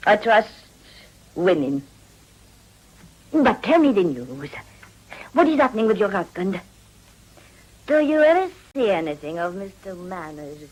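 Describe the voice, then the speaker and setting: Husky, worldly madam. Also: female voice, medium pitch, very animated, a little slow, pauses often, darkish tone, rough recording. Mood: smooth, coaxing. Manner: theatrical, knowing, teasing. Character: worldly, cynical, coaxing madam with French affectations. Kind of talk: period radio drama acting.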